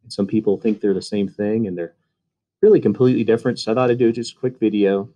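A man with a low, mellow voice talks through technical slides in a relaxed voice-over. He explains things casually, with plenty of ums and uhs along the way. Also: fast talker, lo-fi sound. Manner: casual, uses fillers. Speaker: HVAC instructor.

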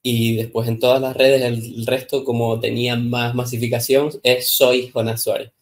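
A man's voice: medium pitch, level tone, normal speed. A man in his thirties from the Canary Islands, a guest chatting casually on a podcast in a studio lounge, laughing often and acting out little quotes. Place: indoor studio lounge set, seated on sofa. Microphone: stand mic on the floor.

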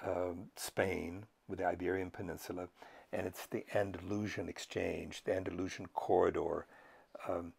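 An older man with a very low, gravelly voice and a husky edge. He lectures to the camera like a professor, measured and thoughtful, pausing often. He is recorded close-up on a lapel mic. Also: a little mellow. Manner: measured, thoughtful, frequent pauses and fillers. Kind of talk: unscripted educational talk to camera.

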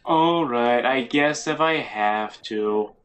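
A man's voice: mid-range voice, slow, fairly bright tone, slight background noise. A man chatting casually with friends while playing a video game, rambling and narrating his moves as he goes. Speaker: gamer. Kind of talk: casual gaming chat.

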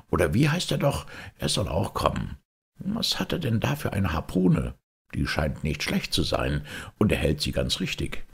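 A man voicing a blunt, bossy old sea captain with a rough sailor's voice in an audiobook. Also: rumbling low, full character voice.

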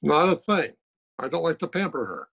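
A man's voice: on the high side, sing-song, normal speed, gravelly, fairly bright tone, slightly rough, exaggerated voice. An older man playing a blunt, matter-of-fact male colleague in an old-time radio comedy. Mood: deadpan grumbling. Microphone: phone on video call.

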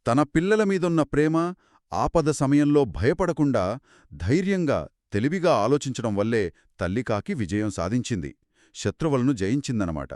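A man's voice: mid-range voice, expressive, moderate pace, a little mellow, dry recording, clean studio sound. A man co-hosting scripted children's storytelling in dialogue, speaking in a reactive, theatrical way. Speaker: co-host storyteller.

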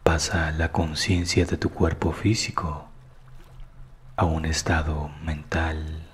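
Very slow, deep, husky man guiding meditation. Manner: slow, calm, soothing, hushed at times. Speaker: meditation guide. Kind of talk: scripted guided meditation narration.

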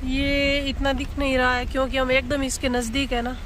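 A woman's voice: sits high, normal speed. Chatty woman vlogging from a noisy car. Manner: excited, chatty, describing the road as she goes. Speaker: travel vlogger. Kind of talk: casual travel vlog commentary. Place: inside a moving car on a mountain road. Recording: noisy car ride with road noise.

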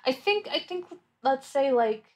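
A woman's voice: high-pitched, expressive, unhurried. A woman playing a tabletop roleplaying game, talking casually and thinking her answers through aloud in a thoughtful way. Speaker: player in an actual play podcast.

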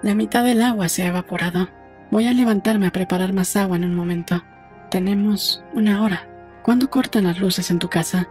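A woman playing a brisk, practical young woman in an audiobook drama, talking in a matter-of-fact, conversational way. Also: medium pitch, a little mellow, soft background music, natural voice. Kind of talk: audiobook dialogue acting.

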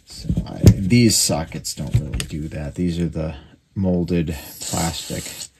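A man talks through a repair in a very low, gravelly voice. His delivery is unscripted and halting, as he thinks aloud while he works. There is clear background noise in the workshop recording. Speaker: radio repairer demonstrating his work. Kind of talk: casual hands-on repair commentary. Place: indoor workbench. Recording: noisy workshop recording with clicks.

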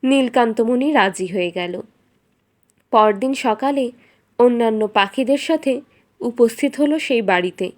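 A woman's voice: a little high, measured pace, pauses often. A woman reading a scripted fairy tale as the storyteller of a cartoon.

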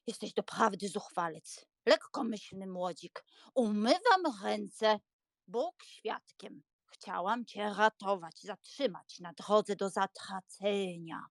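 A woman's voice: mid-range voice, vocal fry, no room sound, clean studio sound. A woman playing an earnest, prim old spinster aunt in a dramatised audiobook, with an emphatic, moralising, fussy manner. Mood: scandalised, indignant. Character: elderly spinster aunt, earnest, prim, easily scandalised matchmaker.

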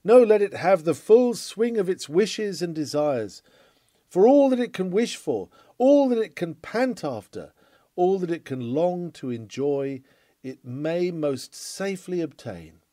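Male sermon reader: higher-pitched, mellow, oratorical, with emphatic pauses. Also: sing-song, no echo, studio quality. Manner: declamatory, formal, emphatic phrasing with deliberate pauses. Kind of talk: oratorical sermon read aloud.

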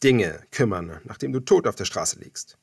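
A man's voice: lowish voice, expressive, moderate pace, gravelly, darkish tone, breathy edge, exaggerated voice. A man voicing a cocky, lewd young gang leader in an audiobook, with a taunting, theatrical manner. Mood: cocky, commanding.

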